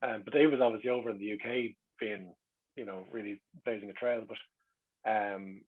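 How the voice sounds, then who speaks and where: A man with a Dublin Irish accent chatting casually, a wry and animated storyteller in a public talk. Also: lowish voice, breathy edge, narrow, tinny audio. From Dublin, Ireland. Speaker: guest speaker. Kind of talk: casual chat, reminiscing.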